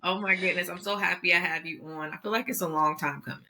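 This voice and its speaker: A woman hosting a podcast interview, upbeat and encouraging, often backing up her guest with quick affirmations. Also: on the low side, steady tone, pushed and bright. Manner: upbeat, affirming with frequent mm-hmms. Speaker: podcast host.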